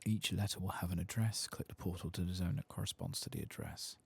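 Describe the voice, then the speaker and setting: A man voicing a chatty, cheerful mail-room worker from a fantasy game, reading quest lines softly and politely. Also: sits low, steady tone, quick pace, creaky. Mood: friendly. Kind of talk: reading game quest dialogue aloud, soft-spoken. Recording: faint background noise.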